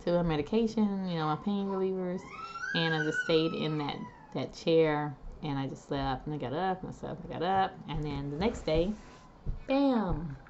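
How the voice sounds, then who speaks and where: Woman vlogger, homey and chatty, recovering voice; dull lo-fi home recording with steady noise. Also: low voice, normal speed. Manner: chatty, homey, addresses viewers as guys. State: recovering voice after neck surgery. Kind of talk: casual talk to camera.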